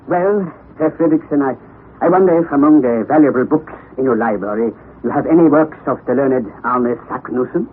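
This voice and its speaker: An actor playing an eager, excitable old professor who stammers and gets flustered, in a 1960s radio drama. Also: male voice, mid-range voice, brisk, natural voice. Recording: phone-like narrow 1960s radio broadcast with faint hiss.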